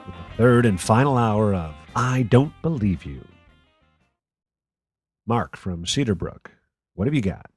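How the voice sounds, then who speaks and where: A man's voice: on the low side, expressive, measured pace, pauses often, soft background music. A man playing a jaded, sarcastic call-in radio host in a radio play. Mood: dry, sarcastic. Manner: dry, sarcastic. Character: sardonic, jaded male conspiracy talk radio host. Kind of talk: radio play acting as talk radio.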